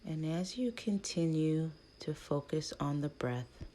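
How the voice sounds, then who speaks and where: A woman leading a guided meditation in a gentle, hushed manner, speaking in short soothing phrases with long pauses between them. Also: sits low, expressive.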